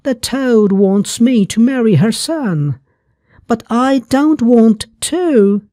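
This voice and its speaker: A narrator playing a tiny, sweet storybook girl with a very high voice. She pleads slowly and plaintively, in simple phrases. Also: animated, warm tone, dry recording, clean studio sound. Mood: pleading.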